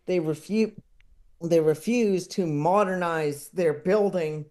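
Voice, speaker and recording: A man chatting casually as a podcast guest, talking in a halting, rambling way. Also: high-pitched, a little mellow. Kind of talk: casual interview chat.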